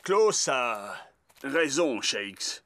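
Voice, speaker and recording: Measured, firm soccer coach. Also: male voice, medium pitch, sing-song, a bit bright, no room sound, exaggerated voice. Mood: reassuring. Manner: measured, didactic. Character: calm, firm, wise male soccer coach. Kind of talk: animated cartoon dubbing in French.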